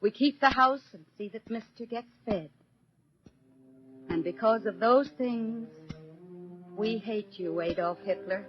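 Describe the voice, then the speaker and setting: Middle-aged American mother and housewife, 1940s radio drama: slightly high voice, solemn, defiant, theatrical; lo-fi sound. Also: takes it slowly, long pauses. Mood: proud, resolute. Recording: dull 1940s radio recording, faint music.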